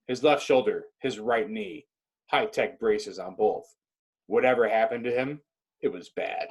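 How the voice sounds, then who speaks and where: A man with a husky voice reading stage directions as dramatic scripted narration. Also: medium pitch, expressive, unhurried.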